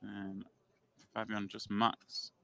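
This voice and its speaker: A man calling play-by-play on a live poker stream, brisk and lively. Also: on the low side, vocal fry. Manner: brisk, calls the action, acts out players' reactions.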